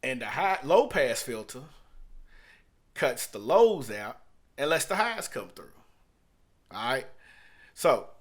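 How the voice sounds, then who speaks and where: Male music producer, middle-aged, medium pitch, conversational tutorial delivery, rephrases for emphasis. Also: sing-song, takes it slowly, pauses often, fairly bright tone. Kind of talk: informal screen-share tutorial, unscripted. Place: small room at home.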